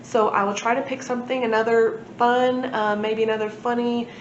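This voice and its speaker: A woman's voice: little pitch movement, moderate pace. A woman hosting a children's book read-aloud, with an informal, chatty, enthusiastic manner. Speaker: library read-aloud host. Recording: echoey room, muffled, noisy with low rumble.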